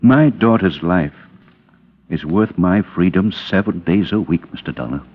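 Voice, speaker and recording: An actor playing an anguished middle-aged American businessman in a vintage radio drama, pleading and halting, cornered by blackmailers. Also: sits low, slightly rough. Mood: weary, torn. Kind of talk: 1940s-50s radio drama acting. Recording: muffled old radio recording with hall reverb.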